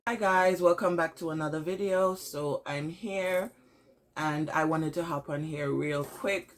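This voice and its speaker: Stuffy, tired low-voiced woman. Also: music in the background. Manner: low-energy, tired, halting with many fillers. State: congested with a cold. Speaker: vlogger. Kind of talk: casual vlog update. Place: at home.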